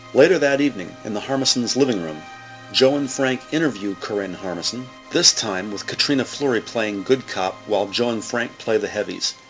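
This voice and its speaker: A man's voice: mid-range voice, a bit bright, poor audio. Male drama narrator over music. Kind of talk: scripted present-tense audio drama narration. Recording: music bed under the voice.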